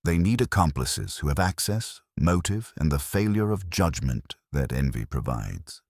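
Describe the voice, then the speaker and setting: A man's voice: sits low, animated, unhurried, darkish tone, dry recording, clean studio sound, exaggerated voice. A man voicing an imperious, exacting master detective in an audiobook, formal, pedantic and coldly accusing.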